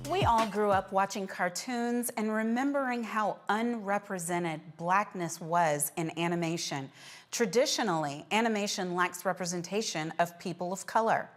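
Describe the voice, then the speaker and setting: A woman's voice: mid-range voice, moderate pace, soft background music. A woman hosting a news panel show, talking in a conversational presenter's style.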